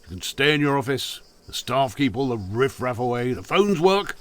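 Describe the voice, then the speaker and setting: Ageing man, acted, lively intonation, mid pitch, grumbling, wistful, audio drama. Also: normal speed, close to an everyday voice. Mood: musing. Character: ageing retired court official, wistful, grumbling, hungry for life.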